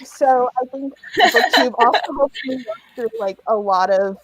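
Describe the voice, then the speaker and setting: Woman panelist, chatty and quick to laugh, lots of fillers; dry, close recording. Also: high-pitched, sing-song, slightly rough, no room sound, poor audio. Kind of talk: casual panel chat.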